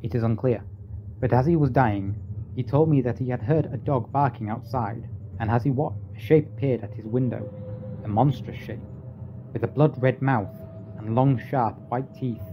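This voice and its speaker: A man's voice: mid-range voice, normal speed, highs cut off, a little hiss or hum, natural voice. A man playing a grave doctor who speaks with solemn drama in a radio play. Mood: grave, shaken. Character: grave male doctor reporting horrors to his colleague.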